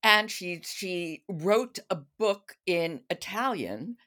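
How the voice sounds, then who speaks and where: A woman's voice: on the low side, lively intonation, slow, bright, forceful tone. A woman hosting a podcast, chatting casually about books. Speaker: podcast host. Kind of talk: casual book chat.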